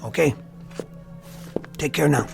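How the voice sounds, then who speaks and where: An actor playing a troubled but kindly army lieutenant in a war radio drama, casual and subdued. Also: male voice, a little high, takes it slowly, gravelly, over music, heavily acted. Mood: gentle, distracted. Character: troubled young army lieutenant, kindly to his wounded soldier. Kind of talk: audio drama acting.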